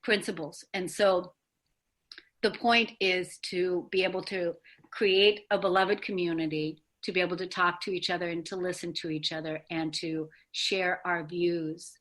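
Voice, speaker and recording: A woman with a slightly low voice speaks as the host of a talk show. Her delivery is conversational and a little halting, circling around as she addresses her guests. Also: steady tone, fairly bright tone. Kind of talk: conversational hosting of a discussion.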